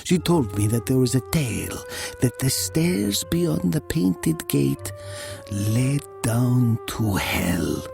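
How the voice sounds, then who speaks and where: A man playing a brooding narrator recalling his youth in a dramatic story, slow and deliberate, dropping into hushed breathy tones. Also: medium pitch, very animated, music in the background, exaggerated voice. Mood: hushed, wistful.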